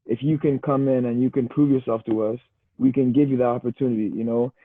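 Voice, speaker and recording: A man's voice: medium pitch, quick pace, no room sound. Young man on a tinny, dry call. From Canada. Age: young adult. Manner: relaxed, easygoing, says "bro" and "you know" a lot. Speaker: guest, university football player. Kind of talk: casual podcast chat. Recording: tinny phone-like line, dry.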